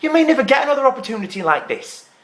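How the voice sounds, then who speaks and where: A man performing a dramatic stage monologue as a desperate, scornful theatre graduate, pleading emphatically and repeating himself haltingly. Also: high voice, wildly swooping pitch, bright, forceful tone, exaggerated voice. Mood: indignant.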